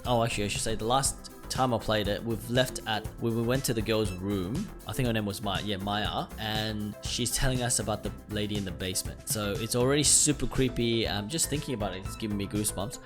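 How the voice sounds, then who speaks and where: A man's voice: lowish voice, quick pace. A man hosting a gameplay video, chatting casually with slangy asides and reading story text aloud, with game music behind him.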